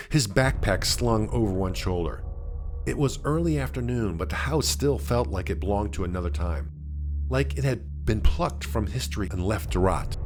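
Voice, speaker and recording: A man narrating a scripted mystery story in a dramatic, suspenseful way with deliberate phrasing. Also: lowish voice.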